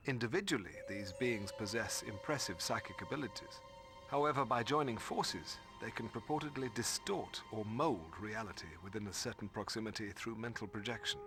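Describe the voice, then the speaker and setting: An actor playing a scholarly, stern middle-aged mentor in a video game, speaking formally and precisely. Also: male voice, fairly low pitch, normal speed, lightly acted. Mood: grave, explanatory. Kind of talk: video game cutscene exposition. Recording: music behind the voice.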